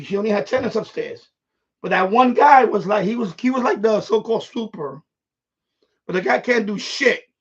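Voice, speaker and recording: A man with a husky voice, telling stories in a blunt, repetitive, sweary way on a casual live stream. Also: high voice. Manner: blunt, profane, repeats himself, animated. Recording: dull, lo-fi livestream audio.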